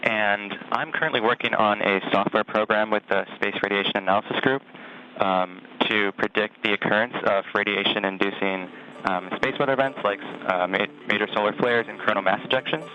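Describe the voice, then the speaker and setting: A man's voice: on the low side, steady tone, a little fast, bright, forceful tone, a little hiss or hum. A young man from Texas, a student intern, asking a prepared question on a call. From Texas, United States. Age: young adult. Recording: dull phone call, faint music.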